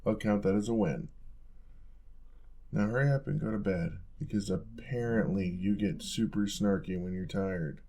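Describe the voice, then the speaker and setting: Man acting low, creaky, teasing young roommate. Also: moderate pace. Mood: playful teasing. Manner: soft-spoken, teasing, casual, coaxing. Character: playful, affectionate young male roommate, gently teasing. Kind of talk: intimate ASMR boyfriend audio roleplay.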